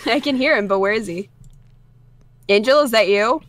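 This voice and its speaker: A woman streamer reading a visual novel aloud and adding wry, sassy commentary with animated reactions. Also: a little high, normal speed, dry recording. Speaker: game streamer reading along. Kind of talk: let's play, reading aloud with joking commentary. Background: game music.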